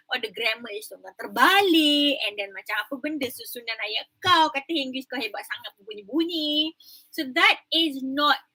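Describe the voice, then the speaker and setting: A woman's voice: high-pitched, pushed and bright, low-quality recording. A woman with a Malaysian accent teaching an online English class, mixing English and Malay in a chatty, emphatic way over a computer mic. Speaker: university English lecturer. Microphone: computer mic on video call.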